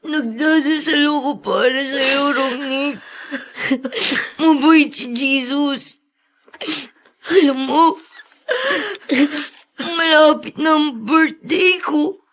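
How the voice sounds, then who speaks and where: Earnest high-voiced little boy. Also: drawn-out words, pauses often, a bit bright, full character voice. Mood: tearful, hopeful. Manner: childlike, pleading. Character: earnest, devoted little boy comforting his sick playmate. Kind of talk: radio drama acting.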